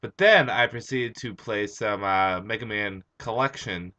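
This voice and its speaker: A young man with a hoarse, tired voice, just out of bed, rambling through a casual comic book review. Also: on the low side, a little slow, bright, forceful tone, muffled recording. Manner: rambling, chatty, with frequent "uh" and restarts.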